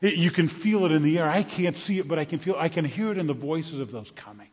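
A man playing an excitable blind beggar of ancient Jerusalem in a dramatic first-person monologue, halting and repeating himself, swinging between hushed and loud. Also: a little high, slightly rough. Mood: earnest, warm excitement. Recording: echoey large hall, slightly muffled.

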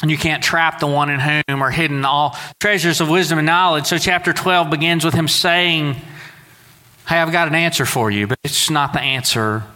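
A man's voice: fairly high pitch, steady tone, moderate pace, bright, forceful tone. A man in his forties from Southeast Texas, preaching a sermon to his church in a folksy, conversational way. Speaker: pastor. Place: church sanctuary. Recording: long reverb of a church sanctuary.

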